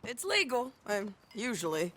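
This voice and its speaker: A woman's voice: fairly high pitch, very animated, a little slow, fairly bright tone, a little hiss or hum. An actress playing a tough, folksy woman bounty hunter with an American Southern drawl in a western game. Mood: wry, casual. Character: tough, hard-drinking woman bounty hunter, old outlaw friend. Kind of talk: cinematic western game acting. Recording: music behind the voice.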